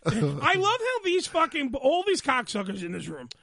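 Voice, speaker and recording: Brief: loud, crude male radio host, very high, bright, lively voice. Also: normal speed. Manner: loud, profane, bossy. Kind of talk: loud crude studio banter. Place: radio studio.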